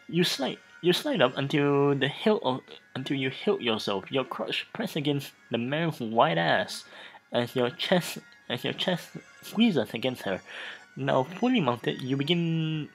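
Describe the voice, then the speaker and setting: Seeking a mid-pitched let's play narrator: theatrical, animated intonation, frequent stumbles and repeated phrases. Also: low-quality recording. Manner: halting, stumbling over words and repeating phrases. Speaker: host of a gaming let's play. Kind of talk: dramatic reading of game text.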